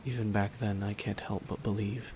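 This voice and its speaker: Casting: male actor as a regretful supervillain for ASMR drama, lowish voice, solemn, deliberate and heavy with grief, rain in the background. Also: flat delivery, background noise, natural voice. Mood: bitter, brooding. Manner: slow, deliberate, brooding monologue with long pauses. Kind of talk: dramatic ASMR roleplay monologue. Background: rain falling.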